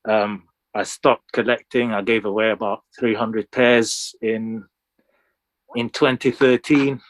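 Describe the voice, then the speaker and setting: A man in his thirties, originally from Sudan, speaks as a guest in an interview. His voice sits a little low. He tells his story in a casual, conversational way, recorded close and dry with very little room sound. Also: flat delivery, slow pace, no room sound, low-quality recording. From Sudan, moved to the UK when young. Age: 30s. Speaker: interview guest, sneaker collector.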